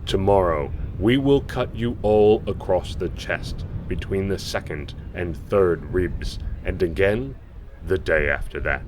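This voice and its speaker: A man's voice: low-pitched, a little mellow, a little hiss or hum. A man playing a harsh, contemptuous alien military commander in a science fiction audio drama, stern and formally commanding. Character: harsh alien soldier commander, merciless, contemptuous drill instructor.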